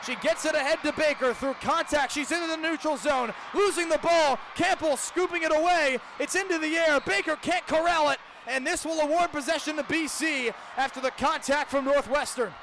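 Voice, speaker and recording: Very high-pitched young male sports play-by-play announcer. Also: normal speed, few pauses. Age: young adult. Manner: detailed running description with dramatic phrasing. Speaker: play-by-play broadcaster. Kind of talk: live sports radio commentary. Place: outdoors at a lacrosse stadium. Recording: clear outdoor stadium noise behind.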